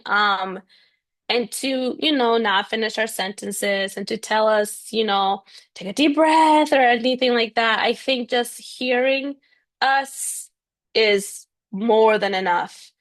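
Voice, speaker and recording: A woman's voice: fairly high pitch, a bit bright. A young woman, a speech therapist, answering interview questions earnestly with frequent "um"s and "you know"s. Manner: earnest, speaks of stuttering, fillers like um and you know. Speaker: bilingual speech-language pathologist, guest.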